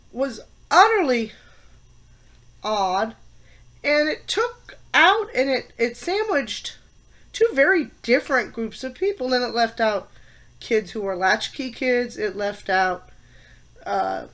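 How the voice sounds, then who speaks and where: A woman's voice: fairly high pitch, fairly bright tone. Stuffy-nosed woman in her fifties. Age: 50s. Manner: opinionated, emphatic, with pauses and fillers. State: stuffed up from a head cold. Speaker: college teacher and student. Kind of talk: casual class vlog.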